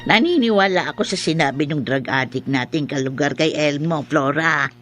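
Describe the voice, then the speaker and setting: An actress playing a solemn, assured elderly village healer in a Filipino horror radio drama. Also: female voice, low voice, moderate pace, fairly bright tone, soft background music. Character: grave elderly female village folk healer.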